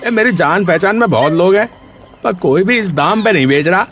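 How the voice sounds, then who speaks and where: A man's voice: high-pitched, animated, normal speed, a bit bright, a little hiss or hum. A man voicing a jealous, scheming village vegetable seller in a comic cartoon, with a blustery, colloquial way of talking. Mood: grumbling, suspicious.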